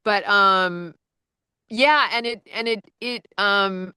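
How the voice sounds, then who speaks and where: A woman audiobook narrator with a low-register voice, chatting casually and rambling with lots of fillers and restarts. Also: slow, sharp tone, clear, resonant tone, dry recording. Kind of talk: casual conversation.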